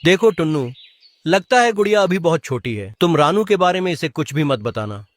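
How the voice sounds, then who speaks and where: A man's voice: a little high, sing-song, a little fast, dry recording, studio quality. A man voicing a sensible, well-meaning male pigeon in a cartoon, earnest and advising. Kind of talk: animated story dialogue.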